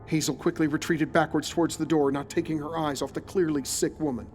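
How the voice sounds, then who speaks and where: A man delivers scripted narration for a horror audio drama. His voice is on the higher side, and he tells the story in a dramatic, suspenseful way. Quiet music sits underneath. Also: fairly even intonation, fairly quick.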